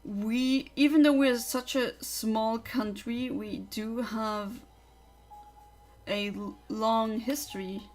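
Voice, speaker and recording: A woman's voice: fairly high pitch, fairly even intonation, takes it slowly, a little mellow, rough recording. Chatty young woman. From Luxembourg. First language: Luxembourgish. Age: young adult. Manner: chatty, opinionated, rambling. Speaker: livestreamer. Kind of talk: casual livestream chat.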